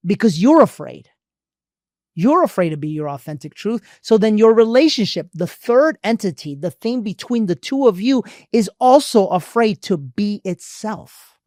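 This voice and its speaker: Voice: mid-range voice, very animated, normal speed, darkish tone, dry recording. Blunt swearing podcast cohost, fired-up coach vibe, dry close sound. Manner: blunt, emphatic, swears freely; preachy motivational delivery. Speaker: podcast co-host. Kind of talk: casual relationship podcast chat.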